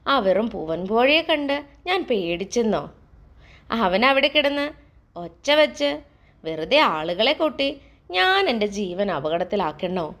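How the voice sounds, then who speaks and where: A woman voicing a hungry, cunning male lion in a children's storybook tale, speaking in a gruff, scheming way. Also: fairly high pitch, very animated, takes it slowly, pauses often, a bit bright, full character voice. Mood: mocking.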